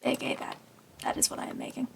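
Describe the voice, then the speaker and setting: A woman casually narrating her Minecraft game, rambling and self-deprecating, her voice slightly raspy from long talking. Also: quick pace, slight background noise. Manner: rambling, self-deprecating, talks to herself while playing. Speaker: gaming channel host. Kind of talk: casual Minecraft let's play commentary.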